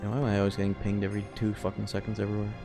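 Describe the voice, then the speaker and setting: Male game streamer, deep register, informal sweary chatter, rambling commentary over very loud music. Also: monotone, very fast. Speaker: game livestreamer. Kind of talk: casual gameplay commentary and chat with viewers. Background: loud video game music.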